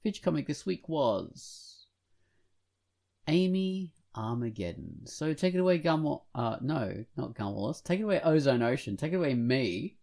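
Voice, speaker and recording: Mellow, gravelly man with lively intonation. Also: mid-range voice, moderate pace. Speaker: podcast co-host. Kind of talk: casual podcast chat and featured comic review.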